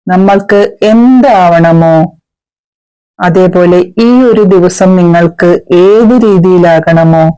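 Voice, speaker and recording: A woman leading a guided meditation as a teacher, in a measured, soothing manner with pauses. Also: on the low side, mellow, clean tone, poor audio.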